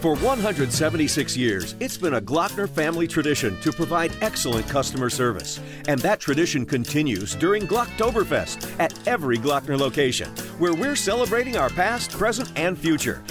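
Lively higher-pitched male ad announcer. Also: fairly quick. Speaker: commercial announcer. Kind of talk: scripted radio ad read. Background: music bed.

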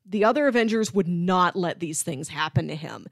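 A woman's voice: fairly low pitch, animated, moderate pace, no echo, studio quality. A woman co-hosting a podcast, talking casually and analytically in fluent, emphatic points. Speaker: podcast co-host. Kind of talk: casual analytical debate chat.

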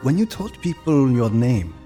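A man's voice: medium pitch, big pitch swings, normal speed, warm tone, faint music behind, lightly acted. An actor playing a reflective elderly survivor recalling his boyhood in an audio drama, wistful and reminiscing. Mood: nostalgic.

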